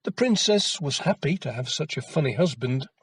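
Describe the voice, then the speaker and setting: Male folktale narrator for children: measured, expressive, husky, recorded close and dry. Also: on the high side, no echo. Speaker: storyteller.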